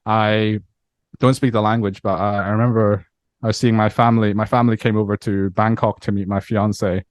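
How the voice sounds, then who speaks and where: Low-voiced Scottish-accented man. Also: fairly quick, dry recording, clean studio sound. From Myanmar. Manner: relaxed and reflective, with frequent repeats and fillers. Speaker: interview guest, musician. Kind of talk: casual interview chat.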